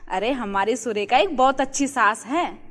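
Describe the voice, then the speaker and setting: A woman's voice: a little high, normal speed, close to an everyday voice. A woman voicing a gushing, gossipy neighbourhood housewife in a moral-story cartoon, recorded with echoing reverb. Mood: gushing praise. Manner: effusive, gossipy. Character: gushing, flattering neighbourhood housewife, friend of the mother-in-law.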